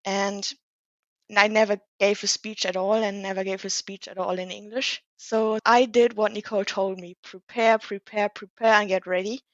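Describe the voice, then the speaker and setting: A woman's voice: medium pitch, little pitch movement, normal speed, no room sound, dull audio. A young woman in her late teens whose first language is German, a podcast guest speaking English in a modest, slightly hesitant way. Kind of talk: casual conversational interview.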